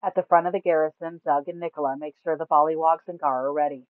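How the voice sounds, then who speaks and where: Woman, scripted fantasy storytelling, measured delivery with dramatic stresses, dry room, thin phone-band audio. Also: low-pitched, fairly even intonation, no room sound. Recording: narrow, phone-like sound.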